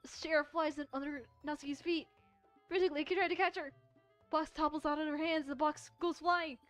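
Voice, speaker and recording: A woman's voice: high voice, steady tone, a little fast, a bit bright, soft background music. A woman streamer reading a visual novel aloud expressively, mixing dramatic narration with casual commentary and stumbling over words.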